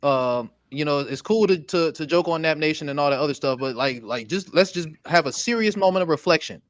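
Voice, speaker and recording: A man's voice: a little high, expressive, fairly quick, no room sound. A man moderating a casual, joking sports chat in slangy speech, repeating himself to get the floor. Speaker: talk show moderator.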